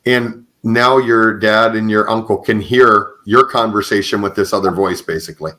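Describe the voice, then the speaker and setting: Low-voiced American man hosting casually. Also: fairly even intonation, normal speed, sharp tone, low-quality recording. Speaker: podcast host. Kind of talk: casual interview chat.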